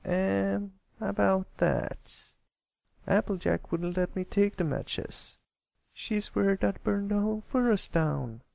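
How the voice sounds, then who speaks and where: A man's voice: high-pitched, takes it slowly, vocal fry, a little mellow, dry recording, voice pushed up, exaggerated voice. A man voicing a sheepish young country pony filly with a hesitant manner for a cartoon fan story.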